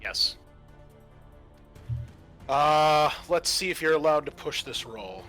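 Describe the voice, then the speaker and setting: A man's voice: fairly high pitch, expressive, takes it slowly, a bit bright, faint music behind. A man narrating a tabletop horror game as game master, moving between vivid storytelling and casual rules talk.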